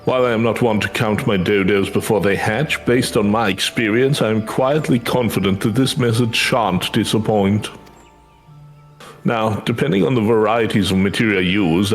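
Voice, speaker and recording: A man's voice: lowish voice, normal speed, faint music behind, close to an everyday voice. Man playing a formal, scholarly game mentor. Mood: welcoming, measured. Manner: formal, old-fashioned diction. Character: courteous, scholarly mentor addressing an adventurer, formal and archaic.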